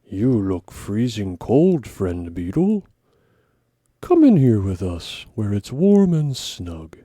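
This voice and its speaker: A man voicing a friendly young storybook bear with a loud, emphatic delivery. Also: on the low side, wildly swooping pitch, takes it slowly, no echo, studio quality, heavily acted. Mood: hearty welcome.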